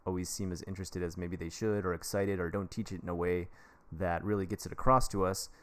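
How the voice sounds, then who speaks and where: An American man, an English teacher, giving casual advice on a live stream in a rambling, jokey, chummy way, talking straight to his viewers. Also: low-pitched. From United States. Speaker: livestream advice host.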